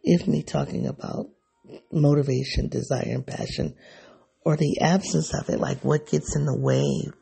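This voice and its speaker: A man with a raspy, tired voice talking through a personal reflection to his viewers, musing and rambling as he searches for answers. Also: fairly high pitch, animated, normal speed, warm tone. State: sleepy, voice going. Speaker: vlogger.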